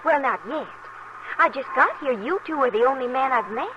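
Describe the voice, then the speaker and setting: A woman's voice: high voice, lively intonation, normal speed, highs cut off. Headstrong young frontier girl, noisy old radio. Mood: stubborn, earnest. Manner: pert, earnest, stubborn. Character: headstrong, naive eighteen-year-old frontier bride-seeker. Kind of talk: 1950s radio western acting. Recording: noisy vintage radio broadcast recording.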